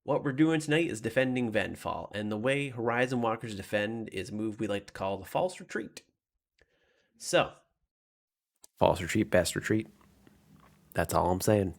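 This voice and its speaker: A man's voice: fairly low pitch, lively intonation, moderate pace, creaky, long pauses. A man playing a confident military commander in a tabletop game, briefing troops in an emphatic, tactical manner. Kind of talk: in-character tabletop roleplay.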